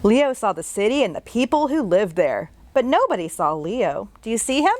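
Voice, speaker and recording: A woman's voice: mid-range voice, very animated, normal speed, a little mellow, no echo. A children's librarian, a woman, reading a picture book aloud and asking young listeners questions along the way.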